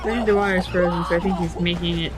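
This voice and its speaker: A woman's voice: fairly low pitch, steady tone, fast, a loud crowd, low-quality recording. A woman co-hosting a reaction video with casual commentary. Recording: loud game music behind the voice.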